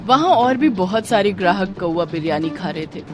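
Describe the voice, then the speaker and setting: A woman's voice: lowish voice, animated, normal speed, over music. A woman narrating a scripted moral tale as a storyteller.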